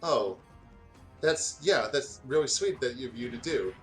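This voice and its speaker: A man's voice: mid-range voice, animated, unhurried, lightly acted. A man voicing a shy, socially awkward schoolgirl who rambles and stammers in a story read-aloud. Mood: hesitant. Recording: music playing behind the voice.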